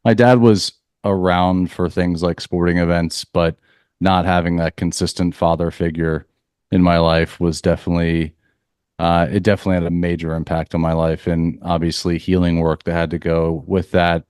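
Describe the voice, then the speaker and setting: Low steady guy from florida, smooth voice, dry close sound, calm earnest talk about healing. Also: normal speed, dry recording. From Central Florida, US. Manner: calm, earnest, spiritual phrasing. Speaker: leadership coach for athletes. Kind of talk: reflective podcast interview.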